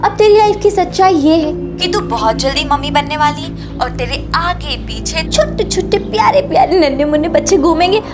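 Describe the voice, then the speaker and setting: Casting: candid young best friend, playful and frank, high voice, TV serial with loud score. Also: female voice, lively intonation, moderate pace. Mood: teasing, matter-of-fact. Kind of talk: Hindi TV crime serial acting. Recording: dramatic background music.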